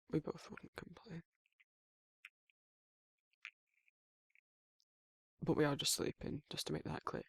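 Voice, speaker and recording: A man acting as a down-to-earth werewolf boyfriend in an ASMR audio roleplay, protective and fond. His voice is slightly high for a man but very husky and heavily creaky, murmured casually and intimately. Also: no room sound, exaggerated voice. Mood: gentle, caring. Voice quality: husky, breathy, gravelly, often dropping to a whisper. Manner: casual, colloquial, soft-spoken intimate murmur with soft chuckles.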